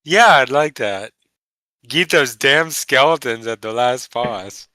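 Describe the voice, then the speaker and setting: A man hosts a laid-back live stream, his voice hoarse and stuffed up from a cold. The tone stays bright and clean, with a dry, close sound, and he talks at length when explaining things. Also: medium pitch, expressive, slow, no room sound. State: hoarse and congested. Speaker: host playing the game. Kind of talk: casual live-stream chat. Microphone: headset over voice chat.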